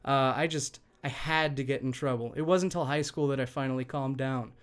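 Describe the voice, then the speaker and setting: A man working as a channel host, reading online stories aloud in an expressive, conversational way and speaking directly to listeners. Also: mid-range voice, brisk, clear, resonant tone. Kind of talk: reading online stories aloud, scripted narration with chatty asides.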